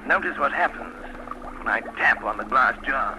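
A man's voice: medium pitch, expressive, moderate pace, over music, natural voice. An actor playing a keen, self-assured scientist fascinated by his experiment, in an old-time radio drama. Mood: intent, fascinated. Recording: noisy vintage radio drama recording.

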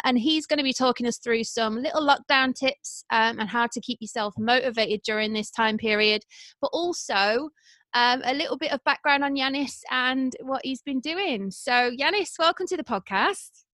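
A woman's voice: sits high, bright, forceful tone, dry recording. A woman hosting a podcast, chatty and friendly with frequent ums and uhs as she introduces and questions her guest. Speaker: podcast host. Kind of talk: casual podcast interview.

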